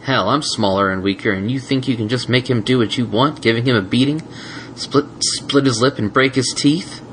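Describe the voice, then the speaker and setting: Guy voicing a soft gentle stallion, earnest, slightly higher. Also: normal speed, a little hiss or hum, close to an everyday voice. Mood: fierce. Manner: earnest, emotional. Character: gentle soft-spoken stallion, fiercely loyal.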